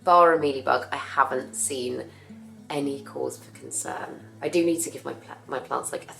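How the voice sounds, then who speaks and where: A young woman with a husky voice from a cough, chatting casually about her plants as she works. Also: very deep voice, steady tone, normal speed, a bit bright, soft background music, poor audio. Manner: chatty, rambling, self-correcting. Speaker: plant care vlogger. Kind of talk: casual chat while working.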